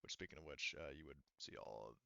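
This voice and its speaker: A man running a tabletop game as game master, narrating casually in an informal, halting manner. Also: rumbling low, gravelly, sharp tone, dry recording. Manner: informal, halting, sometimes profane. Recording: dull, muffled lo-fi sound.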